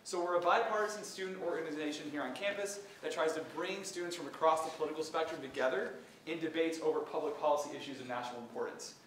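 A loud young man makes casual announcements to a lecture-hall audience, his voice a bit high. The hall rings around him and he is caught from some distance away, with faint room noise behind. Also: quick pace. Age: young adult. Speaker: student club president.

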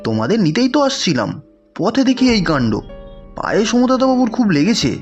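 A man voicing a helpful, excitable village milkman in a cartoon, eager and familiar. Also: high voice, a little fast, dull audio, soft background music. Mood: alarmed. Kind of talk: Bengali cartoon voice acting.

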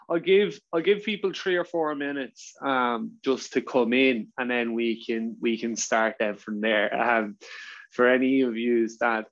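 A man's voice: medium pitch, dull audio. Chatty Irish man on a video call. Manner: fast-talking, chatty, filler-heavy. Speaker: webinar presenter. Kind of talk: casual webinar chat.

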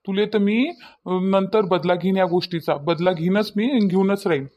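Guy doing a cocky young cartoon loafer, pretty high voice, varhadi accent, pushy and boastful. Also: normal speed, highs cut off, natural voice. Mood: wounded, threatening. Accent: Varhadi Marathi from Vidarbha. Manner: boastful, wheedling, insistent. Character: cocky, pestering young male village loafer on a motorbike. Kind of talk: cartoon comedy dialogue.

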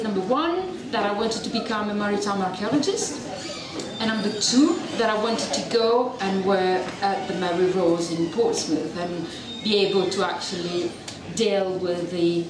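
A woman's voice: medium pitch, moderate pace, background noise. Italian lady giving a talk on a handheld mic, chatty, really echoey room, recorded from far back. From Italy. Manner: chatty, self-deprecating, engages audience. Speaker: university researcher giving a public talk. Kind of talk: public lecture. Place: dark event venue with audience. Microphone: handheld mic, filmed from a distance. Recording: echoey venue recorded from afar, crowd present.

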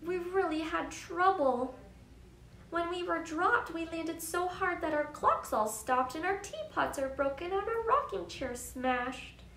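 A woman's voice: very high voice, expressive, unhurried, fairly bright tone, pitched above the performer's own voice, exaggerated voice. A woman voicing a tiny, earnest town mayor who pleads and gives thanks in rhyme for a children's storybook. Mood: distressed, pleading. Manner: earnest, pleading, rhyming speech. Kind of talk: children's storybook read-aloud. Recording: echoey room with faint noise.

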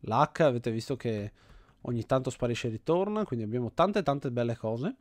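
An Italian man gives casual commentary as he plays. His voice sits at an average male pitch and is recorded dry, with little room sound. He reasons out loud as he goes, often doubling back on his own words. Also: animated, normal speed, no room sound. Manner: thinks aloud, often repeating himself. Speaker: host of an indie game video series.

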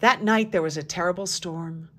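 Woman calmly reading a picture book aloud. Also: lowish voice, normal speed, slight background noise. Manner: calm, even storytelling, hushed at quiet moments. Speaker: storybook reader.

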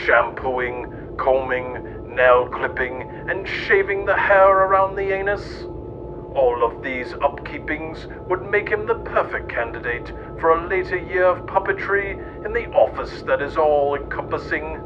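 A man's voice: expressive, measured pace, music in the background. A man reading a scripted mock-history story aloud in a theatrical, mock-solemn way. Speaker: narrator. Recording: dull lo-fi sound with loud rumbling noise.

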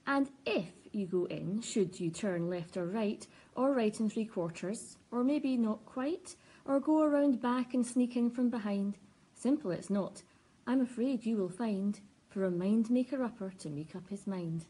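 A woman reads children's verse aloud. The voice is mid-pitched, mellow and a touch breathy. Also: a little slow, poor audio. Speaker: volunteer reader. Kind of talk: picture-book read-aloud.

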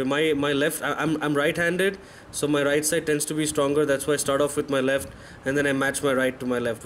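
A man's voice: on the high side, a little hiss or hum. A man in his thirties, a fitness vlogger, giving candid, self-correcting voice-over commentary on his own workout footage. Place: voice-over, not recorded in the pictured gym.

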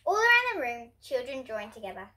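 A young girl of about ten to twelve reading a children's storybook aloud in an expressive way. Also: sits high, a little fast, background noise. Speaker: host reading picture books for kids. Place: indoor room in front of bookshelves.

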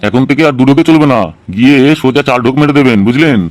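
A man's voice: medium pitch, rapid-fire, a little hiss or hum, lightly acted. A man playing an exasperated, money-minded doctor in a comic cartoon, with a blunt, scolding, colloquial way of talking. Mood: brisk. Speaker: cartoon voice actor. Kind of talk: comic Bengali cartoon dialogue.

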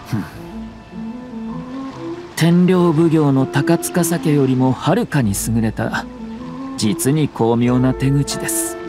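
A man's voice: mid-range voice, slow pace, long pauses, over music, close to an everyday voice. An actor playing a shrewd young nobleman in a video game, speaking in a polite, composed, formal manner. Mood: measured, analytical. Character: courteous, shrewd young nobleman and clan head. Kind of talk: video game character dialogue.

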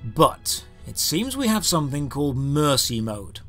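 High-voiced sardonic man reviewing a game. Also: sing-song, unhurried, a bit bright. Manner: sardonic, exasperated critique. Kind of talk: scripted comedic game review narration. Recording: music behind the voice. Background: background music.

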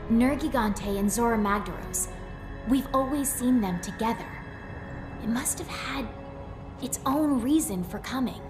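An actress playing an earnest, curious young hunter in a video game cutscene, eager and inquisitive. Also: female voice, fairly high pitch, a little slow, close to an everyday voice. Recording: game cutscene with loud music.

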